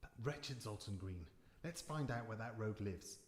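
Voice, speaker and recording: A man's voice: on the low side, lively intonation, normal speed, lightly acted. A man playing a pompous, sceptical professor with formal speech in a live radio drama. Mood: indignant. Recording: echoey hall, live stage performance.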